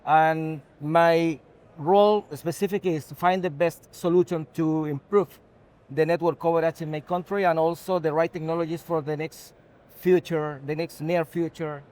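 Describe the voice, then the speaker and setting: A man from Chile with a Chilean Spanish accent, giving unscripted interview answers as a technology executive in halting, non-native English. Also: a little high, fairly even intonation, a little mellow.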